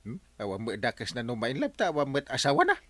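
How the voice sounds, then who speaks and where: An easygoing village captain in a radio comedy. His medium-pitched voice leaps about with huge expression, captured dry and close. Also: huge pitch swings, fast talker, sharp tone, no room sound. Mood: reasoning, surprised. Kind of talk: Ilocano radio comedy drama acting.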